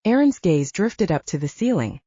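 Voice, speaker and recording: A woman's voice: lowish voice, big pitch swings, moderate pace, mellow, husky, dry recording, dull audio. A woman reading scripted fiction as an audiobook narrator.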